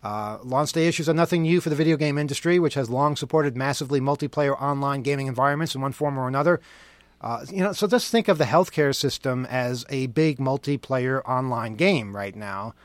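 A man's voice: mid-range voice, a little fast, no echo. A man hosting a radio show, bantering casually live on air in a wry, joking, sardonic manner. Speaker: radio host.

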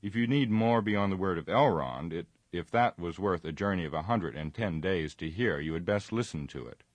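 A man voicing an impatient old hobbit who recites verse and speaks up briskly and indignantly. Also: deep voice, no room sound.

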